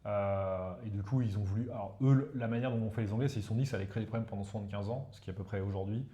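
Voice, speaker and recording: A man from the Ganges plain speaks French, his tongue being Hindi. He explains at a rapid clip in a mellow, somewhat dark voice, dropping "you see" into his casual talk. The recording has a noticeable roomy echo. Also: on the low side. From India, Ganges plain. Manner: talks fast and explanatory, tags sentences with "you see". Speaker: guest expert, professor.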